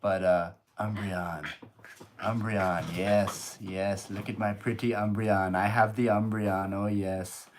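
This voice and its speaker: A man's voice: fairly low pitch, little pitch movement, slow pace, background noise. Rambling young man on a live stream. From United States. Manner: chatty, rambling, with many fillers and restarts. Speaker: streamer, host of a card-collecting channel. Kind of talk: casual live stream chat. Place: home room.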